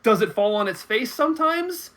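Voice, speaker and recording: High pitched guy, bright sharp voice, chatting casually, keeps doubling back on his words. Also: very animated. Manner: halting, repeats words as he thinks aloud. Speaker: guest commentator. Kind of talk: casual film and game discussion.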